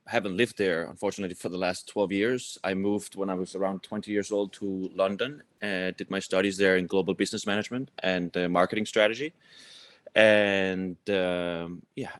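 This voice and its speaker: A man talks as an interview guest. His voice is low, and he explains things informally with many small asides. The recording is very dry. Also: a bit bright, no echo. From Copenhagen, Denmark. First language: Danish. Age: 30s. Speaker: company executive, podcast guest. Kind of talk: conversational interview answers.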